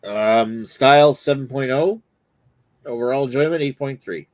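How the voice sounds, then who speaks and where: A man's voice: mid-range voice, normal speed, dry recording. Laid-back man reviewing beer. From Canada. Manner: laid-back, swears casually. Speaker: podcast host reviewing beer. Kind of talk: casual banter.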